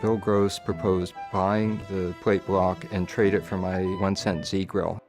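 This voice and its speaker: A man's voice: sits low, little pitch movement, unhurried, music in the background. A man telling an anecdote in a documentary interview.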